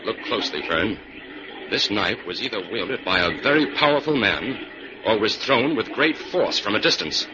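An actor playing a calm, level-headed detective hero with a firm, measured manner in an old-time radio drama. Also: male voice, fairly low pitch, lightly acted. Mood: calm, deliberate. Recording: noisy, dull vintage radio recording.

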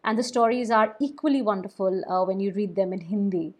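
A woman's voice: mid-range voice, brisk, a little mellow. A woman whose first language is Bengali, a storyteller and podcaster talking thoughtfully about books, with small restarts. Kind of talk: casual podcast discussion.

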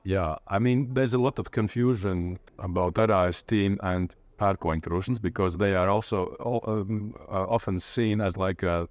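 A man with a low voice and a Latvian accent speaks informally as an interview guest. He is hesitant and often searches for the next word. The sound is dry, with very little room in it. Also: darkish tone, no room sound. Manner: hesitant, halting, groping for words. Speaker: interviewee, playwright and literature lecturer.

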